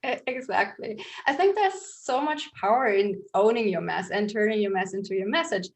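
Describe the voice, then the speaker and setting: A woman with a mid-range voice hosts a podcast interview. She affirms her guest warmly and asks reflective questions. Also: medium pitch, animated, moderate pace. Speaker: podcast host, mindset coach. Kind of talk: conversational interview.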